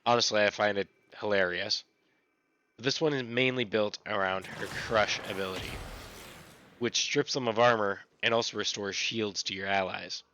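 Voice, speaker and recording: A man's voice: lowish voice, moderate pace. Scratchy-voiced man explaining gameplay. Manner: relaxed, repetitive explaining. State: recovering from illness. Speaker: gaming channel host. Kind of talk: casual gameplay tutorial.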